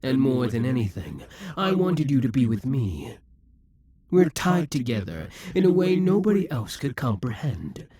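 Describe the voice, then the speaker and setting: A man's voice: medium pitch, big pitch swings, measured pace, dark tone, breathy edge, dry recording, full character voice. A man voicing a possessive, scheming male demon king in a theatrical monologue for an audio roleplay. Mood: brooding. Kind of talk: audio roleplay addressing the listener.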